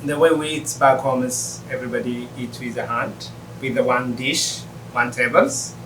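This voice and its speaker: A chef and restaurant owner introducing dishes at a shared meal, speaking haltingly with frequent pauses and "uh"s. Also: male voice, medium pitch, steady tone, distant mic, background noise. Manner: warm, halting, with many uhs.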